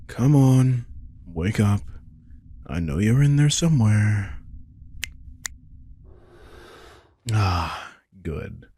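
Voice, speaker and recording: Guy doing a ancient vampire king for roleplay audio, super low and croaky, real slow, sarcastic hungry and teasing. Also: lively intonation, mellow, full character voice. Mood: casual, brisk. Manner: unhurried, dry, casual swearing, teasing menace. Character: sardonic centuries-old male vampire king, hungry and teasing. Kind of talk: roleplay audio drama, intimate second-person monologue.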